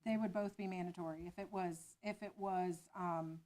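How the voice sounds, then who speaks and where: A woman's voice: fairly low pitch, fairly even intonation, normal speed, darkish tone. A woman who presented to a school board, answering its questions carefully and explaining as she goes.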